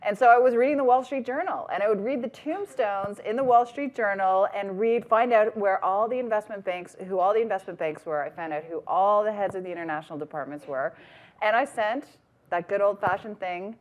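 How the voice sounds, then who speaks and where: A middle-aged woman speaks as a panelist, telling an animated story and laughing as she goes. Her voice sits in a middle pitch. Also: fairly quick. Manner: lively, laughing, acts out quotes. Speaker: panelist, businesswoman. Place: event hall with audience.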